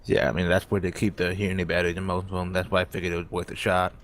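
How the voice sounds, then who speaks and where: Male player, low voice, casual game chat, slightly tentative and self-explaining. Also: monotone, very fast, a bit bright. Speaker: role-play game player.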